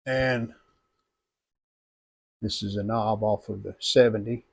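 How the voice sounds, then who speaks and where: Slow-talking man with a gravelly voice. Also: on the low side, steady tone. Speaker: radio restorer presenting own channel. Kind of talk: unscripted hands-on restoration walkthrough.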